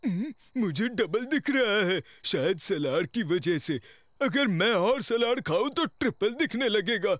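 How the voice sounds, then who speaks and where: A man's voice: high-pitched, lively intonation, unhurried, a bit bright, no echo, studio quality. A man playing a dim, always hungry prisoner in a dubbed children's cartoon, goofy and simple-minded. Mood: dazed, curious.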